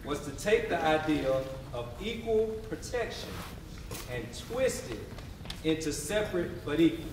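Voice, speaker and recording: A man's voice: a little high, sing-song, measured pace. A man narrating a scripted stage piece in an explanatory, storytelling manner. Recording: very echoey hall, distant mic, noisy.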